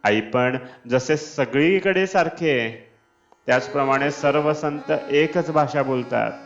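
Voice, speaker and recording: Slow-paced man reading a devotional discourse. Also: fairly high pitch. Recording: dull lo-fi, Indian classical music behind. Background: Indian classical instrumental music.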